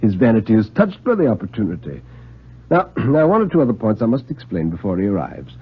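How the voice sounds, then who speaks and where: A man voicing a genial, scheming old retired British police knight, theatrical and deliberate, in a radio drama. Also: fairly low pitch, big pitch swings, slightly rough, full character voice. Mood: scheming, confident. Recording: muffled 1950s radio recording.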